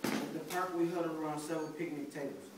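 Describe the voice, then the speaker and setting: Young guy playing indignant marcher, clipped dramatic reading, kinda high voice, very echoey. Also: fairly even intonation, quick pace, lightly acted. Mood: indignant recollection. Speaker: student performer in a dramatic reading. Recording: very echoey noisy hall, far off.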